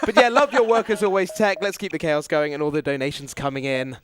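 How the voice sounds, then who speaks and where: A man with a notably high voice hosts a gaming marathon. He presents in an upbeat event-host style. There is light noise with some clicking behind him. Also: steady tone, fast. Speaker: marathon host reading donations. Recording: noisy live event, clicks.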